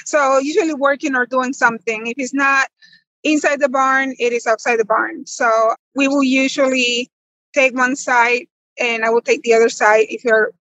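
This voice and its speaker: A woman's voice: sits high, steady tone, normal speed, a bit bright, no echo, low-quality recording. A woman in her thirties from the Republic, answering interview questions conversationally as a farmer guest. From Dominican Republic.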